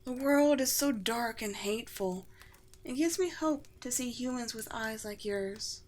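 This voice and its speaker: A woman voicing a gentle, regal undead vampire queen in a scripted ASMR roleplay, speaking formally and poetically with tender, light amusement. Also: mid-range voice, natural voice. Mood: tender, wistful.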